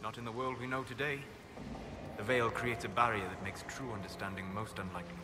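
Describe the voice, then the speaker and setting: An actor playing a calm, scholarly elven mage in a fantasy game, speaking in a measured, formal, lecturing manner. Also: male voice, on the low side, background noise, natural voice. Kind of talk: scripted fantasy game dialogue.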